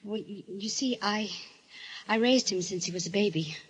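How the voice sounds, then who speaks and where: A woman's voice: mid-range voice, moderate pace, breathy edge. An actress playing an anxious, fragile wife who doubts her sanity, with hesitant, pleading delivery in a 1940s radio thriller style. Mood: nervous, contrite. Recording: noisy vintage radio recording.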